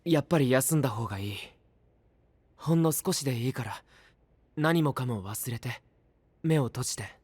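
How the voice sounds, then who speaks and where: An actor playing a quiet, caring young knight in an anime-style voice drama, speaking softly and breathily. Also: male voice, medium pitch, expressive, normal speed, pauses often, husky, no room sound. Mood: concerned, gentle. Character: young male knight, quiet, caring, worried loyal friend.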